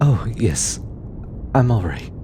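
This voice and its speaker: Man voicing a hesitant, slow-talking shy alien scientist. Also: big pitch swings. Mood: gentle, guiding. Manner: hesitant, stammering, soft-spoken. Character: shy, gentle alien scientist, flustered and caring. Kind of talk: intimate audio roleplay addressed to the listener. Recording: clear low background rumble noise.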